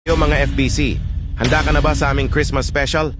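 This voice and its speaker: A man's voice: a little high, little pitch movement, fairly quick. A man with a Filipino accent announcing TV news and program promos as an anchor. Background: loud music.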